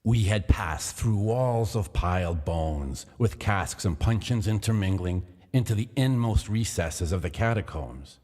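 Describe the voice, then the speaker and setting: A man with a very low voice reads a gothic tale in a measured, theatrical manner. His delivery swings with lively pitch, and a long reverb hangs behind him. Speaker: narrator. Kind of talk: dramatic reading of a gothic tale.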